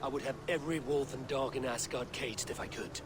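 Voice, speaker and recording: A man's voice: mid-range voice, fairly quick, close to an everyday voice. A man voicing a stern brooding god-king. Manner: grave, commanding, suspicious. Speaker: game character. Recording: game audio with steady noise.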